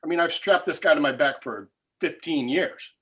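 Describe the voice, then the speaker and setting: A man playing a smug, resentful screenwriting partner in a comedy table read, conversational and halting. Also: a little high, fairly bright tone, lightly acted.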